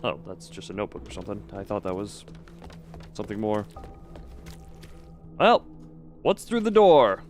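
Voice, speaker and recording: A man's voice: on the low side, big pitch swings, moderate pace, pauses often, a little mellow, faint music behind. A man doing casual live game commentary as a streamer, reading text aloud and adding wry, muttered asides.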